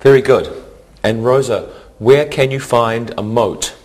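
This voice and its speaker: A man teaching an English grammar lesson in a scripted, deliberate, instructional way, enunciating carefully. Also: mid-range voice, darkish tone.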